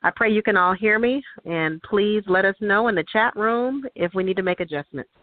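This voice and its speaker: A woman's voice: medium pitch, moderate pace, dry recording. A woman co-hosting a religious show, giving a reverent, grateful devotional testimony. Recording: hissy, tinny phone line.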